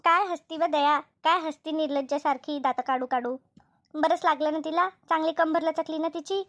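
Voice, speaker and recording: A woman's voice: sits high, normal speed, fairly bright tone, no echo. A woman voicing a concerned, chatty village neighbour who readily scolds, in an amateur cartoon comedy dub. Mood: scolding.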